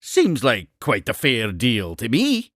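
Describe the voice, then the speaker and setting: A man's voice: mid-range voice, wildly swooping pitch, slow, a bit bright, dry recording, clean studio sound, exaggerated voice. A man voicing an exuberant, eccentric Scottish explorer-inventor creature in a cartoon game, grandiose and effusive with old-fashioned phrasing. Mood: scheming, coaxing. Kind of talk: theatrical cartoon game character dialogue.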